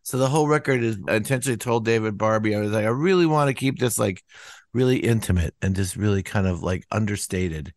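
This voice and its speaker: Rambling low-voiced man musician, dry sound. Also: fast, no echo. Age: older. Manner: rambling, many "you know" fillers, acts out quotes in a raised voice. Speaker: musician guest. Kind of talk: casual interview talk.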